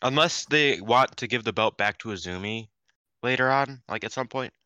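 A man's voice: medium pitch, moderate pace, smooth, dry recording. A man with a congested, nasal voice from being sick, chatting casually and animatedly as a podcast co-host. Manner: animated, jokey, swears casually. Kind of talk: casual chat.

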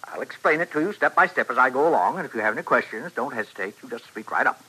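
Sharp, husky gentleman professor. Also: mid-range voice, expressive, fast, rough recording. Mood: showman-like. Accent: American. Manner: courtly, wordy, theatrical. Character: genteel, secretive gentleman professor and inventor, a showman. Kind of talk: 1950s radio western acting.

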